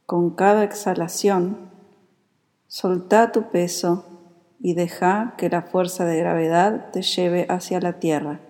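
Rioplatense spanish lady doing guided meditation, calm soft mellow voice, big long echo on it. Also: fairly low pitch. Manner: calm, soothing, unhurried instruction. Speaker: yoga nidra meditation guide. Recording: long reverberant hall-like echo.

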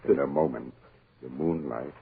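An actor playing a sinister, mysterious chauffeur, cold and relentless, speaking in slow, terse, ominous commands in 1940s American radio horror style. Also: male voice, a bass voice, gravelly, husky, full character voice. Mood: ominous, commanding. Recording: muffled, noisy old 1940s radio recording.

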